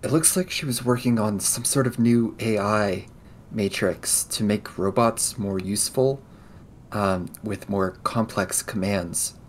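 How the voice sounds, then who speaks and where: A man improvising in-character conversation in a tabletop roleplaying game, explaining things in a hesitant, halting way. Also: lowish voice, a little hiss or hum.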